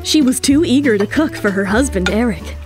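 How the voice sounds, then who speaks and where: Female narrator, high voice, emphatic dramatic storytelling, music underneath. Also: expressive, a little fast. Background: dramatic background music.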